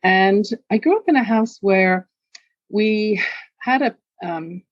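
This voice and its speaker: A woman's voice: mid-range voice, slow pace, dry recording, dull audio. Older London woman, candid and earnest. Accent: London English. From England. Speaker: guest. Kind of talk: candid personal storytelling.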